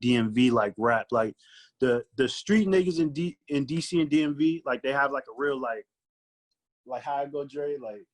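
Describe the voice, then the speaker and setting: A man's voice: mid-range voice, moderate pace. An American man chatting casually as a podcast guest, with loose, slangy phrasing that often repeats itself. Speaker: guest recording artist. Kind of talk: casual chat.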